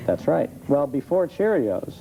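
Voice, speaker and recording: A man's voice: on the high side, big pitch swings, measured pace, creaky. An American man speaking as a guest expert, explaining casually in an interview. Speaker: guest expert on old-time radio. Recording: muffled 1970s TV recording, faint noise.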